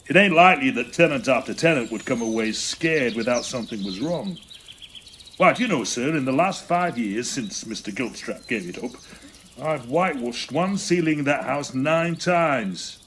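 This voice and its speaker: Man playing blunt Edwardian builder, working-class English accent, medium pitch, colloquial, period audio drama, faint background sound. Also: lively intonation, moderate pace, fairly bright tone, a little hiss or hum, lightly acted. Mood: knowing, emphatic.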